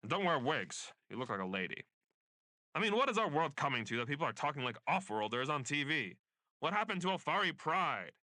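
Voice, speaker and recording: A man acts out a domineering bureaucrat of a dystopian regime in a radio drama. His delivery is bright and forceful, swinging widely in pitch as he lectures with pompous scorn. The sound is dry and close. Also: sing-song, a little fast, dry recording. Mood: indignant. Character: pompous, bigoted state censor bureaucrat, domineering older man. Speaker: voice actor. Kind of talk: dystopian radio play acting.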